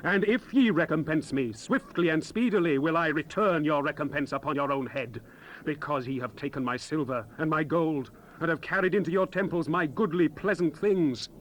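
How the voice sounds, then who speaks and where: A man playing a solemn, fervent Bible prophet in a dramatized scripture recital, with grand, measured, declamatory phrasing. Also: fairly high pitch, close to an everyday voice. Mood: grave. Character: male prophet Joel proclaiming God's words, solemn and fervent. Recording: noisy unprocessed 1970s cassette rip.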